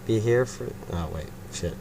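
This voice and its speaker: A man's voice: fairly low pitch, moderate pace, warm tone, poor audio. A young man narrating an amateur fanfiction reading, stumbling over words, swearing and chatting casually between passages. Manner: stumbling, swearing, laughs at own slips. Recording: clear background noise.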